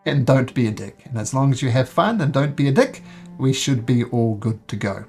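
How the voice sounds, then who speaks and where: A man's voice: mid-range voice, normal speed, a little mellow, breathy edge, soft background music. A man from New Zealand with a New Zealand accent tinged with North American, speaking earnestly as dungeon master over a voice call, with frequent ums and restarts. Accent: New Zealand mixed with North American. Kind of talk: explaining game rules to players. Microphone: voice call microphone.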